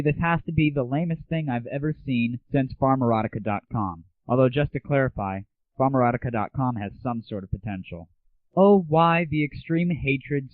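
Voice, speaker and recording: A man's voice: mid-range voice, no echo. A man hosting a solo internet audio show in a casual monologue, crude, sarcastic and irreverent. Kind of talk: casual scripted monologue about games and the internet. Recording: muffled lo-fi 1990s internet audio, dry.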